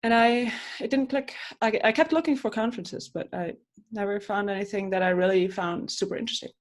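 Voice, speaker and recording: A woman's voice: medium pitch, fairly quick. A woman from Switzerland in her thirties, co-hosting a casual podcast chat and telling stories with frequent restarts. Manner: chatty, storytelling with restarts. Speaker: podcast co-host, coach.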